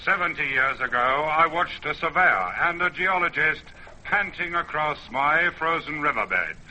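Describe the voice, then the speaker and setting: An actor playing an ancient mountain spirit, grave and watchful, in a solemn, measured old radio drama delivery. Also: male voice, fairly high pitch, lively intonation, sharp tone. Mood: brooding. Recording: noisy old radio broadcast recording.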